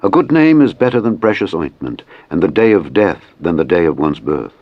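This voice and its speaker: A man narrating scripture in a solemn, measured and declamatory reading style. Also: low voice, sing-song, no room sound, dull audio.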